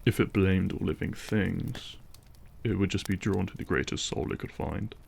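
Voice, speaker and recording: A man's voice: low voice, moderate pace, vocal fry, darkish tone, slight background noise. A man playing a brooding, terse vampire hunter and reluctant ally in a fantasy audio drama, sounding sceptical. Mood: grave, analytical. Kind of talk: fantasy audio roleplay acting.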